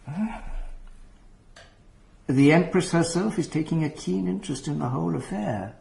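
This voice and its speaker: An actor playing an older aristocratic prince in a radio drama, patronising and wheedling, with fussy coaxing manners. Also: male voice, fairly high pitch, normal speed, creaky, darkish tone. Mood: persuasive. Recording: roomy sound with faint noise.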